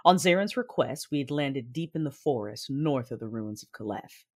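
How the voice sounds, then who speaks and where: A woman playing a grave, reserved warrior, narrating an audio drama in measured, formal, literary language. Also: a bass voice, lively intonation, darkish tone. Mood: solemn, restrained.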